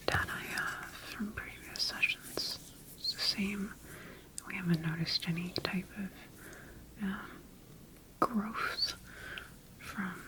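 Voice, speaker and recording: Slow whispering woman as a calm female scientist. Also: on the low side, rough recording. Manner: soft, soothing, methodical murmuring to herself and patient. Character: calm, clinical female scientist examining her creation. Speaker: ASMR roleplay performer. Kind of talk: whispered ASMR sci-fi medical roleplay.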